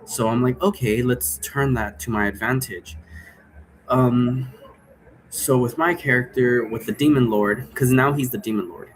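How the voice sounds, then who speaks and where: A man's voice: mid-range voice, a little slow, a little hiss or hum, rough recording. A man casually explaining his role-play game in a rambling way, often checking for agreement with tag questions. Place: indoor room.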